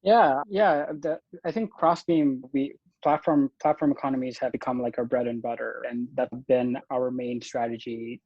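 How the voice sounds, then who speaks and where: A man's voice: medium pitch, steady tone, moderate pace, few pauses, dry recording, muffled recording. A young man from Bangladesh, a venture investor, talking casually in a podcast interview and often restarting his phrases.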